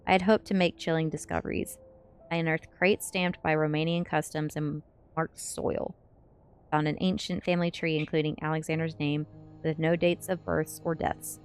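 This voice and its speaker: A woman reading a first-person story aloud in a measured ASMR narration style. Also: deep voice. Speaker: streamer reading a novel aloud.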